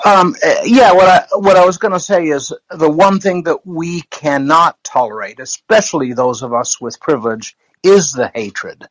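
A man with a somewhat high voice gives his view in an online discussion. He sounds earnest and emphatic in his wording, and his audio is dry with little room sound. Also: normal speed, hardly any pauses, no room sound, rough recording. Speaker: discussion participant. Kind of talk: earnest conversational opinion. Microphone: remote app call.

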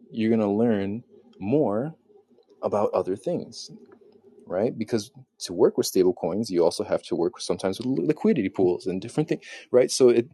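Guy doing a loose solo podcast while cooking, low husky mellow voice, lots of ums. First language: not Spanish. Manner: rambling, filler-heavy, self-correcting. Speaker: podcast host. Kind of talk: casual solo podcast talk.

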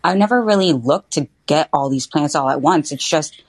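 A woman's voice: low-pitched, slightly rough, no room sound. A young woman guest on a casual podcast, bubbly and talkative, saying "like" often. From Florida. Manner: bubbly, lots of "like" and quick asides. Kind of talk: casual interview chat.

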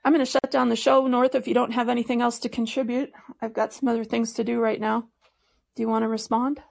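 A woman's voice: on the high side, little pitch movement, quick pace, vocal fry, darkish tone. A woman hosting a live political call-in podcast, speaking in an earnest, insistent way. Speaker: podcast host. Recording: live call-in app audio.

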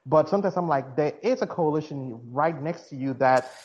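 A man's voice: a little high, a little fast, darkish tone, a little hiss or hum. Ghanaian man on a dull line. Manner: rambling, with many fillers and restarts. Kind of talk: casual call-in chat.

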